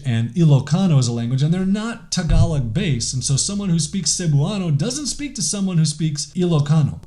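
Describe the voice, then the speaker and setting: A man's voice: on the high side, dark tone. A man hosting a podcast, talking casually on his own with many "like" fillers and restarts. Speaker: podcast host. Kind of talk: casual solo podcast monologue.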